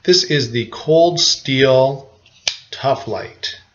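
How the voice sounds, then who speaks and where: A man's voice: mid-range voice, drawn-out words, a bit bright, lo-fi sound. A man with a cold giving a casual, rambling knife review to camera, full of restarts and fillers. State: has a cold.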